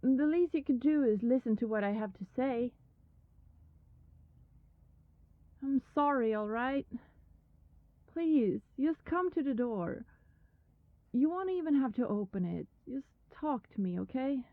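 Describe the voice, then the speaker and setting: A woman's voice: high voice, lively intonation, dry recording, narrow, tinny audio. Woman acting a pleading vampire, close and dry. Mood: anxious pleading. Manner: hesitant, halting, imploring, often dropping to breathy whispers. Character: lovelorn female vampire neighbor, pleading, persistent and anxious. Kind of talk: ASMR roleplay acting.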